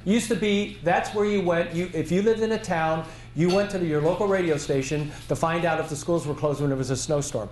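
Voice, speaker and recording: A man presenting at a conference, answering audience questions in a measured way, thinking aloud with restarts. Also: on the high side, darkish tone, echoey room, poor audio. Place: conference hall.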